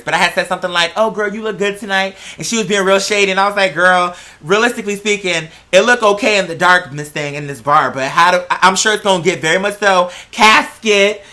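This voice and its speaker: An androgynous-sounding American vlog host chatting casually and gossiping in slangy, sassy style, leaning hard on drawn-out stressed words. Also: mid-range voice, bright, forceful tone.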